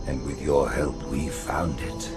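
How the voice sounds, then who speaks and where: An actor playing a scheming, pompous elderly male alien high priest in a video game, haughty and grandiloquent. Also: flat delivery, slow pace, loud music behind the voice. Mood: solemn, preaching. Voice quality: deep, creaky. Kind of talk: video game cutscene acting.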